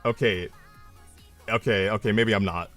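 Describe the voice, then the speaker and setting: A young man chatting casually on his live stream, mocking himself and breaking into loud triumphant yells. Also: lowish voice, steady tone, normal speed, soft background music.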